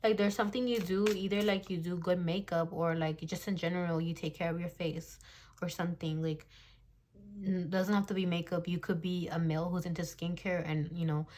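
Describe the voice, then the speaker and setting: A young woman in her twenties speaks in a slightly low voice as she gives a casual tarot reading into her phone. She is blunt and talkative, swears freely and often breaks into laughter. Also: steady tone, fairly quick, darkish tone. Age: 20s. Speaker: tarot reader.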